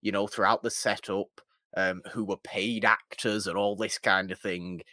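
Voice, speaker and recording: A man co-hosting a film podcast, chatting casually in a halting, rambling way with emphatic repetition. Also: fairly low pitch, fairly even intonation, bright, forceful tone, no room sound, studio quality.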